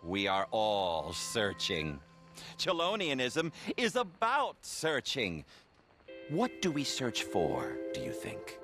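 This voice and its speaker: Cult preacher, smug serenity, pompous, very varied intonation, video game drama, music behind. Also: male voice, medium pitch, huge pitch swings, takes it slowly, fairly bright tone, heavily acted. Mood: serene, smug. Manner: pompous, sanctimonious, lilting.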